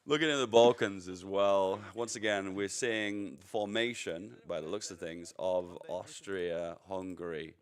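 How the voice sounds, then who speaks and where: A man's voice: deep voice, measured pace, few pauses, smooth. A man from Yorkshire with a Yorkshire accent, chatting casually and rambling as he commentates a live strategy game stream. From Yorkshire, England. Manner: chatty, rambling, laughs easily. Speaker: livestream commentator.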